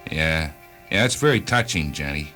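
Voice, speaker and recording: A hard-driving, vengeful man from a radio play acted by a male performer, with a bright, forceful edge to his voice. He is curt, commanding and sardonic, over a faint music bed. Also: extremely low pitch, animated, normal speed, exaggerated voice. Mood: bitter, sarcastic. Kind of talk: radio drama acting.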